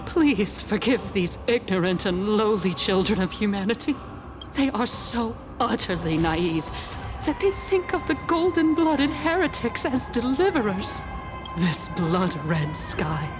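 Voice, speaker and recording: A woman's voice: mid-range voice, lightly acted. A woman voicing a fanatical villainess in a video game, theatrical, mockingly pious and full of contempt. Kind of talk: dramatic video game acting.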